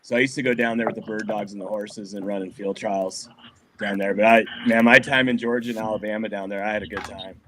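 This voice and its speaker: A man's voice: fairly low pitch, steady tone, quick pace, fairly bright tone. A middle-aged man from Colorado, a musician guest talking casually in a rambling, laid-back way. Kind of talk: casual podcast interview. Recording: noisy background on a remote line.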